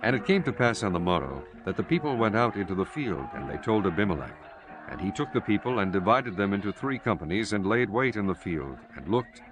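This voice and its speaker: A man narrating a dramatized Bible audiobook in measured, solemn storytelling with archaic diction. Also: fairly low pitch. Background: prominent music.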